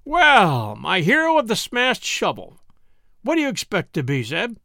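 A man's voice: high-pitched, huge pitch swings, a little slow, vocal fry, sharp tone, no echo, clean studio sound, voice pushed up, heavily acted. A man voicing a snarling, sulky camp cook. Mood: sneering. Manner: snarling, sarcastic. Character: grumbling young male camp cook, sulky and defiant.

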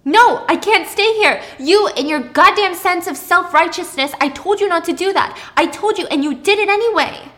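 A woman's voice: fairly high pitch, quick pace, a bit bright, close to an everyday voice. A woman voicing an upset, accusing young boyfriend in a dramatic spoken story, stammering and exclaiming. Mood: furious.

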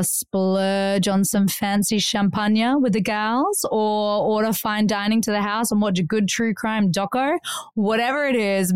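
A woman's voice: mid-range voice, clean tone, dry recording, clean studio sound. A woman co-host reading an ad in a chatty, upbeat way. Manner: bright, chatty and upbeat delivery. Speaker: podcast co-host. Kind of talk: host-read ad.

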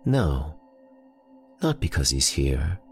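A man voicing an eerie young woman patient who talks softly, slowly and sleepily. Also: very deep voice, sing-song, vocal fry, soft background music, full character voice. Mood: dreamy. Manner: soft, slow, sleepy.

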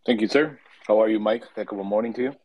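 A man calling in to a show with a question, halting and repeating words. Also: on the low side, a little mellow. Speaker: caller. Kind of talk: call-in question.

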